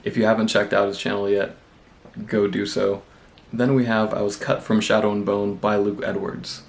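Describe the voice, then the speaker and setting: A young man with a cold, congested, chatting casually and rambling in a relaxed, self-deprecating way as a book vlogger. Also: on the low side, normal speed, vocal fry. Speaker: booktube vlogger. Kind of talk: casual vlog chat.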